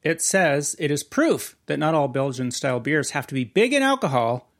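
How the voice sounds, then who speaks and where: A man's voice: fairly high pitch, big pitch swings, normal speed, a bit bright, dry recording, studio quality. A man co-hosting a casual podcast, joking around and reading beer labels aloud. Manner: jokey, reads labels aloud. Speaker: podcast co-host. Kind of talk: casual chat.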